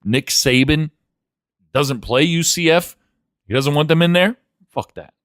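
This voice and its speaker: A man's voice: on the high side, expressive, a little slow, a bit bright, no room sound, studio quality. A man co-hosting a casual sports chat, speaking bluntly and opinionatedly with plenty of swearing. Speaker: sports podcast co-host.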